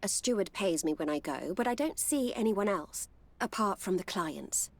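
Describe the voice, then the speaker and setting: Guarded, world-wise game courtesan. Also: female voice, medium pitch, lively intonation, moderate pace, no room sound. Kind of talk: video game voice acting.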